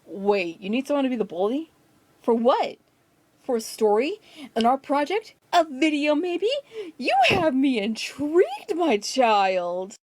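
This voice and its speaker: A woman's voice: high-pitched, very animated, unhurried, darkish tone, no room sound. A woman giving casual rant commentary as a video maker, with a sarcastic, scolding way of talking.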